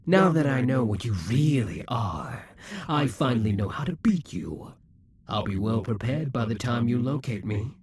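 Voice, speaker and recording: A man's voice: mid-range voice, expressive, moderate pace, a little mellow, husky. A man voicing a proud, possessive male demon king who taunts and schemes, sometimes dropping to a whisper. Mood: scornful. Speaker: voice actor in an audio roleplay. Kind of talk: audio roleplay acting.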